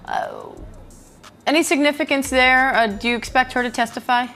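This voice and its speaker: A woman anchors a news segment and asks questions. Her voice is fairly high, and soft music plays behind her. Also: normal speed. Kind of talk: interview questions.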